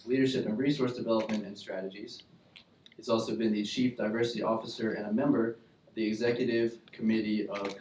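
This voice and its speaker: A man's voice: fairly low pitch, darkish tone, slight background noise. A man reading out a guest speaker's biography at a college event, hesitant and full of fillers. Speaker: student presenter reading an introduction. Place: lecture hall. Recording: echoey hall from a distance.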